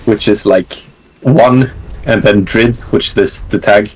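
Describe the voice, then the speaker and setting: A man with a fairly low voice answers interview questions on a voice call. He speaks in an easygoing, rambling way with plenty of "like" and "uh." A faint hum of room ambience sits behind him. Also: slow pace, rough recording. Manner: relaxed, rambling, lots of fillers and likes. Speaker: interview guest, gamer. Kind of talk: casual online interview.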